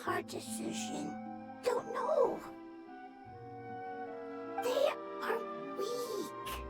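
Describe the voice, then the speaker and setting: Small game creature: extremely high, very slow, halting fragments, anxious. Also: female voice, exaggerated voice. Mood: worried. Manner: halting broken phrases of one to three words. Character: small elemental life form, halting, anxious, grateful creature. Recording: loud music behind, distant sound.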